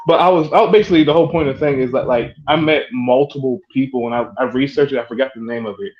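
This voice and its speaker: A man chatting casually and telling stories, halting as he searches for words, over an online voice chat. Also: medium pitch, highs cut off, slight background noise. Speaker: group chat participant.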